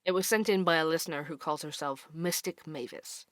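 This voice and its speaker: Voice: medium pitch, a little fast, a bit bright, natural voice. A performer playing a sardonic, irreverent investigative podcast host in an audio drama, talking in a casual, teasing, conversational way. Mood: presenting.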